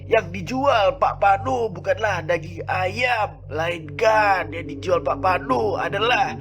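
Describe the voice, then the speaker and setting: A man's voice: high-pitched, slow, over loud music. A man voicing a wise village elder who explains and tells a legend in a scripted cartoon. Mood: solemn storytelling. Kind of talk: scripted cartoon narration and dialogue.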